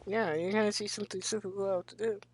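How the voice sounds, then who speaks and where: A woman's voice: mid-range voice, level tone, quick pace, noisy background. A teenage girl giving casual playthrough commentary, slangy, sweary and exasperated. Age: teens. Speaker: game streamer. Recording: game music under the commentary.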